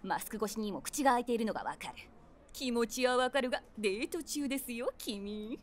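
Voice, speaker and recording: A woman's voice: on the high side, animated, fairly quick, lightly acted. An actress playing a nosy, imaginative middle-aged woman who narrates a comic audio drama with wry, theatrical asides. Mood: wry, teasing. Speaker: narrator and main character.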